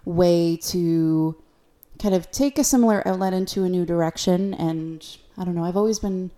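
A woman's voice: fairly low pitch, moderate pace, dark tone. A young adult woman co-hosting a podcast in casual, conversational chat. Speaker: podcast co-host.

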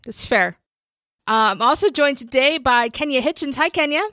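Bright-voiced woman hosting a chatty podcast. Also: high voice, animated, normal speed, no echo, clean studio sound. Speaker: podcast host.

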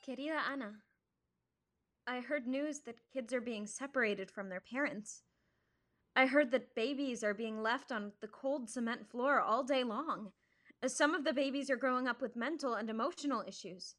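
A woman's voice: on the high side, moderate pace, a bit bright, natural voice. A woman playing an earnest, precise young schoolgirl reading letters to her pen pal in an audio play. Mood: earnest, concerned.

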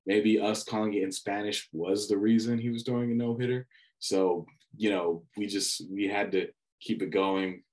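A young man with a fairly low voice recounts a memorable broadcast in relaxed chat. He talks in an animated way and stumbles over restarts as the memories come back. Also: moderate pace. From Dominican family background. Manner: animated storyteller with many restarts and fillers. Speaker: student radio sports broadcaster. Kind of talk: casual reflective chat.

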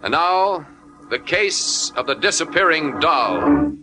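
A man's voice: on the high side, lively intonation, takes it slowly, low-quality recording. An American man announcing and narrating a 1940s radio mystery in a dramatic scripted style. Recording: vintage radio recording with organ music. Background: organ music.

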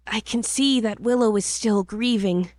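A woman playing a tender-hearted young apprentice who narrates a fantasy audio drama in a gentle, measured, earnest way. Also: medium pitch, no echo, clean studio sound, natural voice. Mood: sympathetic, restrained.

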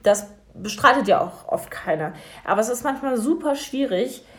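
Young woman co-host, relaxed and wry. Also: fairly high pitch, normal speed, a little hiss or hum. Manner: relaxed, leads topics, dry remarks. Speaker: radio show co-host. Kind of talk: casual radio chat.

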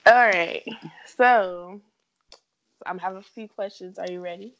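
A woman's voice: mid-range voice, slow, fairly bright tone, dull audio. A woman hosting a podcast, asking questions in a playful, teasing, upbeat way. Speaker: podcast host. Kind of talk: casual interview chat.

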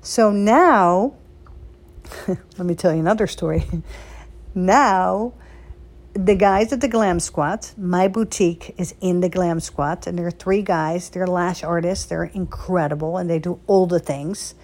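A woman's voice: lowish voice, a little slow, a little mellow. A woman from the Netherlands, chatting casually and emphatically as she tells personal stories in short, punchy phrases. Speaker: boutique owner hosting a solo social media chat. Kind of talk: casual chat, personal storytelling.

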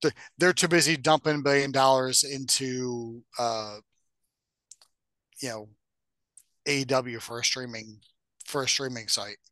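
A man's voice: mid-range voice, fairly even intonation, unhurried, long pauses, sharp tone, dry recording. A man co-hosting a podcast in casual, bantering conversation with a joking, sardonic manner. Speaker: podcast co-host. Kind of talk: casual bantering chat about games and films.

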